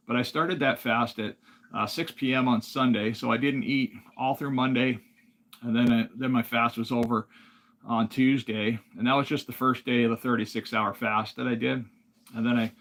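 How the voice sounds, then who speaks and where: Gravelly-voiced man chatting on a livestream. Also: medium pitch. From Alaska. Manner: relaxed, rambling, reads viewer comments aloud. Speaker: livestream host. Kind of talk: casual livestream chat.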